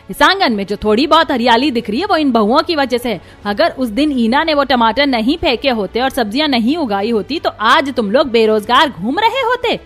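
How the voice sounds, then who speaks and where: A woman voicing a firm, protective older village mother-in-law in a cartoon, motherly and admonishing. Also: high voice. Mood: stern, angry.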